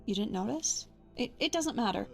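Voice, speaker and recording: A woman's voice: on the high side, expressive, moderate pace, gravelly, faint music behind. A woman playing a tough, sardonic but caring adventuring companion in a fantasy video game. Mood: worried, earnest. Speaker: voiced follower in a game mod.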